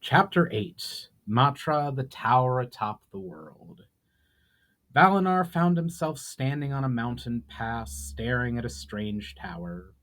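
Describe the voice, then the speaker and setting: A man's voice: medium pitch, expressive, a bit bright. Man reading a fantasy story aloud. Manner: measured reading with occasional stumbles and self-corrections. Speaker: author reading own book aloud. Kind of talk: dramatic audiobook-style narration.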